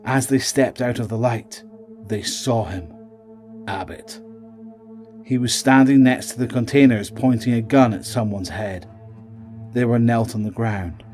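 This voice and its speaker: A man's voice: mid-range voice, unhurried, pauses often, a little mellow, faint music behind. A man reading scripted narration for a horror audio drama.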